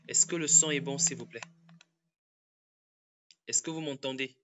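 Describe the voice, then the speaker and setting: A man who has a cold, hosting a social media live stream in a halting, repetitive, apologetic way. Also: medium pitch, fairly even intonation, background noise.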